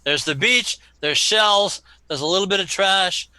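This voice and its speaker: Bright, forceful male game master. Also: sits high, measured pace, clean tone, dry recording. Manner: teasing banter with players. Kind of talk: running a tabletop role-playing game.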